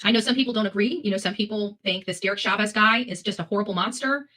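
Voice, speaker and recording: A woman's voice: mid-range voice, fast talker, sharp tone. A woman hosting live opinion commentary, speaking bluntly and emphatically, repeating phrases for weight and swearing freely. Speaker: host of a live commentary show.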